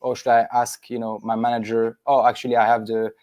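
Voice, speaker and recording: Flat-voiced man from Switzerland. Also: mid-range voice, brisk, no echo, lo-fi sound. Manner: rambling, anecdotal. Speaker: business co-founder. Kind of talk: webinar discussion.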